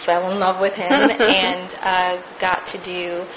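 A woman's voice: lowish voice, flat delivery, slow, muffled recording, background noise. A woman, a stage actress, recounting her theater career in a casual interview, laughing now and then.

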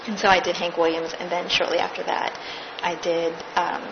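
A woman's voice: lowish voice, flat delivery, fairly quick, lo-fi sound, background noise. Actress telling her stage story. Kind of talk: casual interview.

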